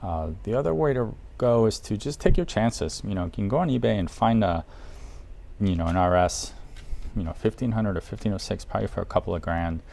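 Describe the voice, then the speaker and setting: A man's voice: on the low side, lively intonation, brisk, vocal fry, warm tone. A man presenting a casual product guide in an audio shop, talking informally and with enthusiasm for the gear. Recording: noticeable background noise, room ambience.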